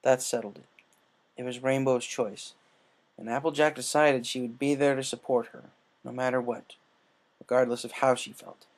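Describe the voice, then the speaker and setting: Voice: mid-range voice, steady tone, normal speed. A narrator reading fan fiction aloud as scripted storytelling. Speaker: story reader.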